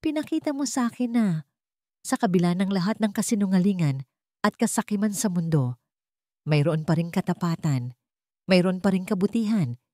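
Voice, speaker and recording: A woman's voice: fairly low pitch, animated, normal speed, long pauses, mellow, dry recording, studio quality, close to an everyday voice. A woman voicing a remorseful, desperate wealthy man who pleads earnestly in a dramatic storybook. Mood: pleading.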